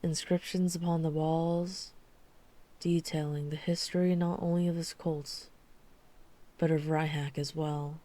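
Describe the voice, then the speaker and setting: A woman playing a guarded, weary vampire hunter who is herself a vampire, speaking in a measured, serious way in an ASMR roleplay. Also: sits low, little pitch movement, mellow. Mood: somber. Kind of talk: ASMR roleplay audio drama acting.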